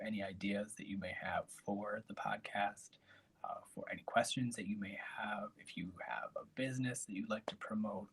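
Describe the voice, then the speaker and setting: Raspy, hushed man, lowish voice, casual live stream host who rambles softly. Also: poor audio. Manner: soft, breathy and rambling, with trailing asides.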